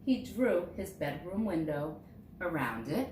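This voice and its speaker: In a roomy, echoing space, a woman a little away from the mic reads a children's storybook aloud. Her pitch moves in lively swings as she stresses key words, and she takes her time over each line. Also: measured pace. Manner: expressive, dramatizing key words for young listeners. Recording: roomy echo, distant mic, faint noise.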